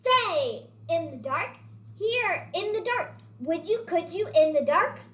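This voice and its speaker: A woman's voice: very high-pitched, animated, takes it slowly, a bit bright, voice pushed up, exaggerated voice. A woman voicing a small, persistent, coaxing character in a singsong children's read-aloud. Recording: roomy, echoey sound.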